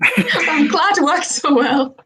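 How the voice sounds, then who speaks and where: A woman's voice: sits high, animated, slow pace, sharp tone. A young woman from Germany, a student giving thoughtful, hesitant answers with many ums. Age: young adult. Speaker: undergraduate student panelist. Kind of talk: casual Q&A answers.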